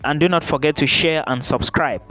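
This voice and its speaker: A man's voice: a little high, a little fast, fairly bright tone, low-quality recording. A man hosting his own channel, giving emphatic advice straight to the viewer, repeating key points and checking in with "okay?". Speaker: study-abroad YouTuber. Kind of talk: direct-to-camera advice vlog.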